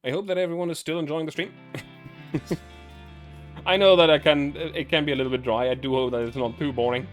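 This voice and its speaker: A man livestreaming as host, reading game story aloud with casual asides and stumbling now and then, his voice slightly raspy. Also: fairly high pitch, steady tone, faint music behind. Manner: halting, often stumbles and corrects words while reading.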